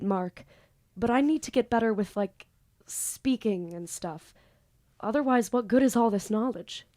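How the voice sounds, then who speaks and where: Woman playing a young female student, awkward, eager, casual, mid-pitched, echoey room, audio drama. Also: dark tone, lightly acted. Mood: awkward, pleading. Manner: casual, hesitant, self-deprecating. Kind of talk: amateur audio drama acting with first-person narration.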